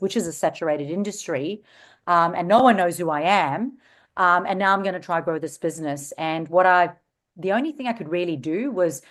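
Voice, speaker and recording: A woman in her forties from South Africa with a South African Australian accent, telling her story as a guest in an interview, animated and quick to laugh. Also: on the low side. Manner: animated, laughs easily, self-described hyperactive. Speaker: guest entrepreneur.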